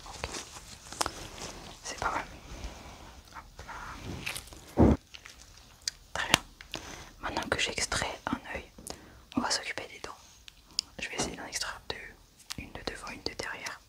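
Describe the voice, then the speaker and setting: A woman whispers her way through a medical ASMR roleplay. She talks to herself softly and tentatively, describing each small step as she works. Her voice sits a little way from the microphone. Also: measured pace, pauses often, far from the mic. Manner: soft, hesitant, thinking aloud while working. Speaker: ASMR performer playing a medical examiner.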